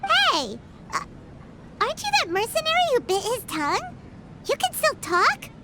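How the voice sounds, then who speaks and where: A squeaky, chirpy little floating creature. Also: female voice, wildly swooping pitch, normal speed, heavy background noise, heavily acted. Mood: excitable. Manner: chirpy, bouncing exclamations. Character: tiny floating female companion creature, chatty and excitable. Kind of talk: video game voice acting.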